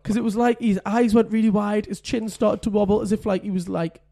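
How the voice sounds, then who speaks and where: Man, podcast co-host, mellow high voice, chatty, laughing storyteller. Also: little pitch movement, fairly quick, gravelly. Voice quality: says he has a deep voice. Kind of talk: casual chat.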